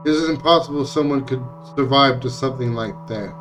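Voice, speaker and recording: A man's voice: mid-range voice, brisk, fairly bright tone, far from the mic, slight background noise, rough recording. A man reading visual novel text aloud as dramatic narration, now and then stumbling over words and rereading them. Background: music.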